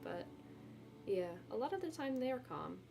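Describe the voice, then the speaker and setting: A woman's voice: on the high side, very animated, moderate pace, gravelly, a little mellow. A young woman streamer talking casually with her viewers, rambling and self-deprecating, with lots of fillers. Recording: music playing behind.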